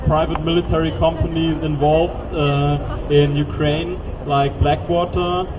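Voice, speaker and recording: A man moderating and interpreting questions at an outdoor rally, halting and repeating words. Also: a little high, little pitch movement. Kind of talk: moderating a public Q&A. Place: outdoor city square. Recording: distant mic, street noise.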